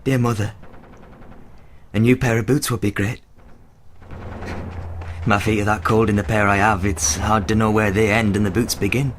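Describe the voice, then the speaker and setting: An actor playing a young, worn-down and frightened trench soldier in a radio play. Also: male voice, fairly low pitch, little pitch movement, normal speed, long pauses, a little hiss or hum, close to an everyday voice. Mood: miserable, subdued.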